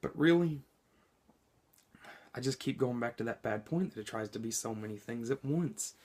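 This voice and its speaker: A man talks through a movie review while sick, his voice hoarse and a little scratchy. He keeps it loose and conversational at a middling pitch, often pausing on an um or correcting himself mid-sentence. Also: darkish tone. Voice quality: slightly scratchy. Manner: chatty and informal, frequent ums and self-corrections. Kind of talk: casual spoken movie review.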